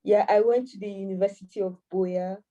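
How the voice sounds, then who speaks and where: A woman's voice: mid-range voice, fairly even intonation, brisk, warm tone, recorded from a distance, dull audio. A woman in her twenties from Cameroon, an AI mentor answering interview questions hesitantly with many fillers and restarts. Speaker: AI mentor and master's student.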